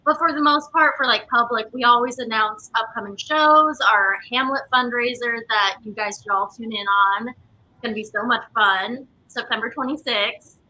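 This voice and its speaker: Very bright, high-voiced woman being interviewed. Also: moderate pace, slight background noise, low-quality recording. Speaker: interview guest, theatre company member. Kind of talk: casual interview chat.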